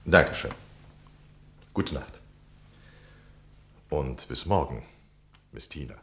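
Courteous gentleman journalist, low-pitched man, formal, flattering, vintage radio play. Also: takes it slowly, creaky, exaggerated voice. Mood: gallant, eager. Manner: formal, gallant, polite. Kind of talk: 1960 German radio play acting.

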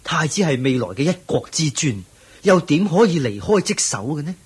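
An actor with a Hong Kong Cantonese accent playing a deferential, persuasive royal courtier in a radio drama, with courtly, expressive delivery. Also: male voice, a little high, normal speed, husky, full character voice. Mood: respectfully pleading.

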